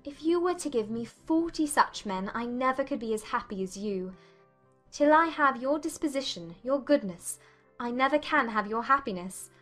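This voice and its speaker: A woman playing a playful, witty young gentlewoman in a dramatized period audiobook, arch and affectionately teasing. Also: high voice, lively intonation, moderate pace, faint music behind.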